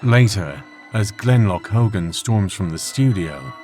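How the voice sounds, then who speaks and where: A man's voice: fairly low pitch, expressive, unhurried, a little mellow, soft background music. A man giving scripted narration for an audio drama.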